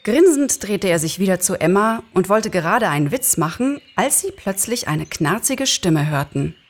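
A woman's voice: medium pitch, animated, normal speed. A woman reads a scripted story as narrator in a live performance. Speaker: author and narrator, actress. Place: small theatre, live recording.

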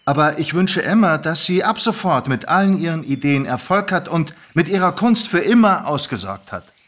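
A man's voice: a little high, big pitch swings, moderate pace, a bit bright, voice pushed up. A man voicing an eager, agreeable male character in a storybook reading, stumbling a little over his words. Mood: cheerful, eager. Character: eager, agreeable, generous boyfriend in a tale.

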